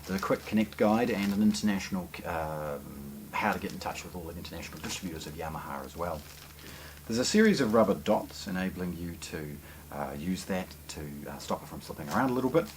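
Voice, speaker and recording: Low-pitched New man presenting a product. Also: expressive, fast, slight background noise, rough recording. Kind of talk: unscripted unboxing commentary.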